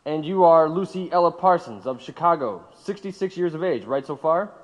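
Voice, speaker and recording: An actor playing a stern, formal federal agent interrogator in a period radio drama. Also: male voice, on the high side, animated, natural voice. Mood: officious, controlled. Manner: formal, measured, officious. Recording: reverberant hall-like room.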